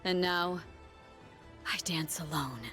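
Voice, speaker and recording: An actress voicing a grave young woman warrior who leads her people in war, speaking deliberately in dramatic video game lines. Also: fairly low pitch, a bit bright, faint music behind. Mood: resolute.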